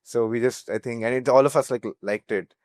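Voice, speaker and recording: A man's voice: mid-range voice, steady tone, brisk, darkish tone. A young man with an Indian accent, a startup founder telling anecdotes casually in an interview. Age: young adult.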